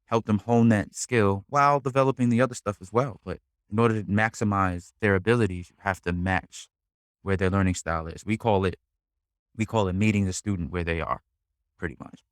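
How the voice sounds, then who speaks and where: A man with a fairly low voice hosts an interview podcast. The sound is dry and free of room echo. He talks informally and affirms his guest, restating points in a casual, conversational way. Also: brisk. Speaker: podcast host.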